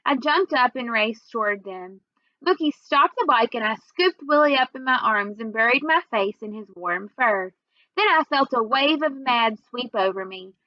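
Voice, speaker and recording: Higher-voiced woman reading a children's story. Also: lively intonation, moderate pace, no echo, lo-fi sound. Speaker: read-aloud reader. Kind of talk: children's storybook read-aloud narration.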